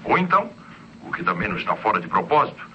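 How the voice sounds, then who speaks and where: A man's voice: deep voice, sing-song, fast talker, pushed and bright, a little hiss or hum, full character voice. An actor playing an earnest male police commissioner in an old radio drama. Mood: urgent, grave. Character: earnest police commissioner reporting a strange murder. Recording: dull old radio recording.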